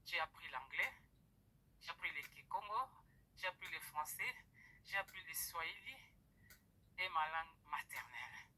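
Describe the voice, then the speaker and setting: A middle-aged woman from the Democratic Republic of the Congo giving a personal testimony to an audience, hesitant, with many pauses and fillers. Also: deep voice, animated, pushed and bright, husky. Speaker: guest speaker. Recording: tinny phone-like recording.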